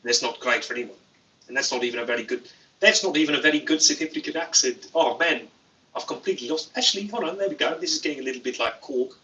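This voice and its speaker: A man hosts a relaxed livestream, reading comments with deadpan, playful humor. His voice is very bright and sharp, at a middle pitch, picked up a little away from the mic. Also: quick pace, distant mic, a little hiss or hum, low-quality recording. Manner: deadpan, playful, jokey asides. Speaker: YouTuber livestream host.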